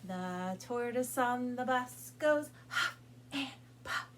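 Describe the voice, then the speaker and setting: Casting: woman children's storytime reader, higher-pitched, unhurried, sing-song and coaxing; noisy recording with a hum. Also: expressive, fairly bright tone. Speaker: children's librarian reading aloud. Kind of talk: storytime read-aloud with sing-along for young children.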